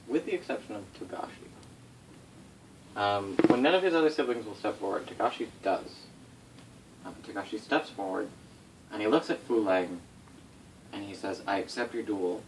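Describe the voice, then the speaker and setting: A man informally telling tabletop game lore to players as game master, in a casual, rambling way with swearing and self-corrections. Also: lowish voice. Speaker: game master recounting setting history to players. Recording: distant mic with faint background noise.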